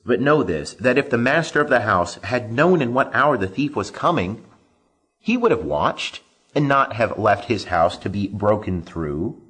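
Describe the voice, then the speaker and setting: A man reading scripture aloud as solemn scripted narration, in a measured, declamatory way with emphatic phrasing. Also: fairly low pitch, sing-song, fairly bright tone, husky, rough recording. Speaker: Bible reader.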